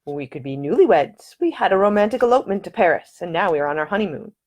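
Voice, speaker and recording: A woman voicing a charming young gentleman who talks in a playful, gallant, gently teasing way. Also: lowish voice, big pitch swings, fast talker, pitched above the performer's own voice.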